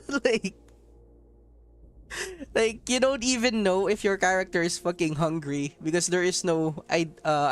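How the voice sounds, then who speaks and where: A man from Southeast Asia giving rambling, casual gameplay commentary, laughing often and swearing offhandedly. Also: high-pitched. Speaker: mobile game streamer.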